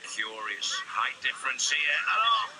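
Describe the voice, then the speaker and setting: A man's voice: medium pitch, sing-song, a little slow, heavy background noise. A man doing cheeky, wisecracking voice-over commentary on game show footage, mock-serious and joking. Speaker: comedy commentator.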